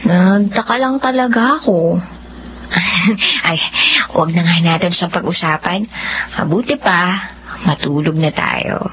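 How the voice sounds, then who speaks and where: Woman acting gentle, slow-talking young Filipina. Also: fairly low pitch. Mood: coy, sleepy. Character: gentle, coy young woman hiding her feelings. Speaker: drama voice actor. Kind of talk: radio drama acting. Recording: dull humming audio, faint noise.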